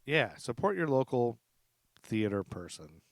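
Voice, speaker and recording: A man's voice: medium pitch, big pitch swings, a little slow, gravelly, no room sound. A man hosting a podcast in casual chat, upbeat and chatty, laughing easily and restarting his sentences. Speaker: podcast host.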